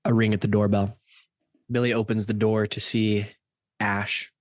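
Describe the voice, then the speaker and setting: A man's voice: on the low side, flat delivery, moderate pace, no echo. Man narrating in a dry recording. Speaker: narrator and player in a radio play. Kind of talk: scripted narration of stage action.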